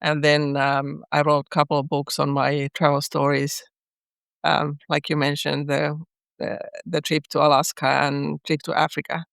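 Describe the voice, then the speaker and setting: A middle-aged woman from Finland with a Finnish accent, a guest poet talking in an unhurried way with pauses as she searches for words. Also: deep voice, monotone, gravelly, fairly bright tone, smooth, dry recording, clean studio sound. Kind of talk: podcast interview.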